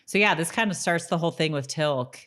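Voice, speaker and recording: A woman with a low-pitched voice co-hosts a podcast. She talks casually, as in a rewatch discussion, sometimes shifting into reading notes aloud. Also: a little fast, a bit bright. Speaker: podcast co-host.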